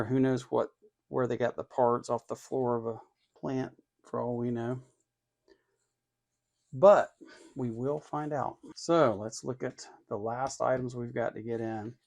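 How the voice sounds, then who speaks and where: Gravelly man casually thinking aloud. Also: medium pitch, a little mellow. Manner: unhurried thinking aloud, muttering to himself while working. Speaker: electronics hobbyist host. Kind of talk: casual hands-on build narration.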